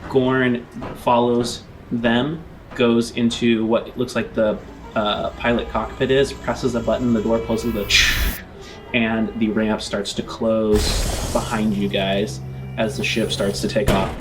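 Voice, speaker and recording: A man's voice: fairly low pitch, little pitch movement, takes it slowly, noisy background. A man running a tabletop game as game master, improvising descriptive narration in a conversational way. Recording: music playing under the voice.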